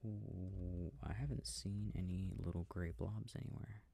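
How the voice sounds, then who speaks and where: A man with a mellow, gravelly voice speaks very softly, close to the microphone in a dry space. He muses to himself at an unhurried, slow pace, often dropping near a whisper, like a sleep-aid ASMR performer playing a game. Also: deep voice, fairly even intonation, no echo, faint music behind. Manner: quiet, unhurried musing to himself. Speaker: audio roleplay performer. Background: game controller clicking.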